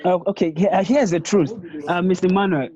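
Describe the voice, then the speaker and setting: A man's voice: high voice, steady tone, brisk, dark tone, lo-fi sound. A man, speaking as a guest panelist in an emphatic, assertive way. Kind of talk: casual webinar discussion.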